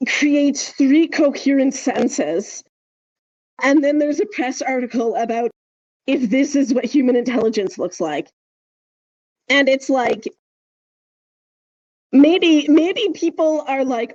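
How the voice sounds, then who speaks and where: A woman's voice: high-pitched, a little mellow, no room sound, muffled recording. A woman chatting casually as a podcast co-host, wry, opinionated and emphatic in how she makes her points. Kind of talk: casual speculative podcast chat.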